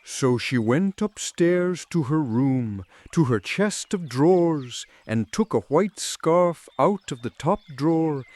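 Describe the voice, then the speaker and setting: A man narrating a dark fairy tale in a dramatic storytelling style. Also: on the high side. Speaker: audiobook narrator.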